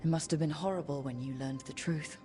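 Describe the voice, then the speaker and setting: A woman's voice: sits low, steady tone, brisk, mellow, soft background music, lightly acted. An actress playing a resolute, earnest young woman who leads a band of heroes in a fantasy game. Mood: probing, sympathetic. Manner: earnest, rallying, plain-spoken. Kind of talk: dramatic fantasy game voice acting.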